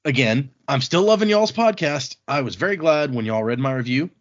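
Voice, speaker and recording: A man's voice: medium pitch, lively intonation, a little fast, lo-fi sound. A man from Texas hosting a podcast, chatting casually and reading out listener mail. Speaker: podcast host.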